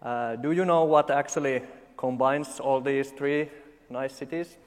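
A man's voice: mid-range voice, steady tone. Finnish man joking dryly in an echoing hall. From Finland. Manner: halting, many uh fillers, dry jokes. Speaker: conference speaker. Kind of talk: conference talk with deadpan humor. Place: large conference hall. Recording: long hall reverb.

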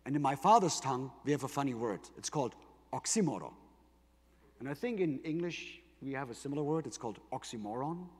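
A man's voice: medium pitch, breathy edge. A man with a German accent giving an explanatory keynote tech presentation, emphasising points with rhetorical questions. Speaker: conference presenter for a tech company. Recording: reverberant large hall.

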